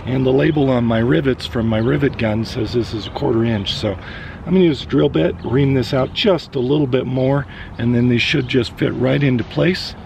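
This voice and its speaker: A middle-aged man, hoarse and raspy from losing his voice, talks through his work in an unhurried way, thinking aloud with fillers. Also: medium pitch, darkish tone, slight background noise. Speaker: vlogger. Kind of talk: casual vlog narration while working. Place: inside a step van.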